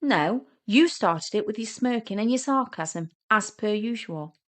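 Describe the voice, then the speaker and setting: A woman's voice: mid-range voice, lively intonation, unhurried, voice pushed up, lightly acted. An awkward man in his forties, acted out by a woman narrator in a romantic comedy audio story. He is shy and nervous. He turns huffy and indignant when flustered. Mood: raging. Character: shy, awkward, easily flustered forty-something older brother.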